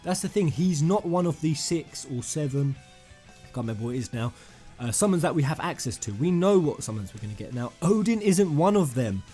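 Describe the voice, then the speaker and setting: A man hosting a fan theory video, rambling and emphatic, addressing his viewers directly in an excited commentary style. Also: on the high side, brisk, husky. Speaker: gaming YouTuber, host. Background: music under the voice.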